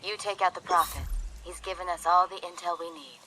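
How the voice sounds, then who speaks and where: An actress playing a confident military commander in a video game, brisk and businesslike. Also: female voice, on the low side, fairly even intonation, a crowd in the background, lightly acted, low-quality recording. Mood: decisive, focused. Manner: businesslike, decisive.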